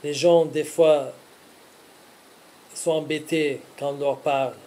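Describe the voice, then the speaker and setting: A man speaking earnestly in short, deliberate phrases, as a religious host giving scripted devotional teaching. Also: on the high side, fairly even intonation, dark tone, low-quality recording.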